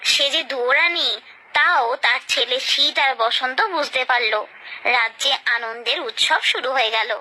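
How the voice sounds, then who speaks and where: A woman's voice: high-pitched, a little slow, bright, forceful tone, rough recording. A woman narrating a scripted children's story, expressively and directly addressing her young listeners.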